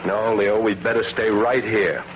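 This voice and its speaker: An actor playing a curious, earnest Navy radio scientist who also narrates, musing and a little absent-minded, in a 1940s radio drama. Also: male voice, on the low side, fairly even intonation, normal speed, highs cut off, a little hiss or hum, natural voice. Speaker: narrator and lead character. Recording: old radio broadcast with loud music underneath.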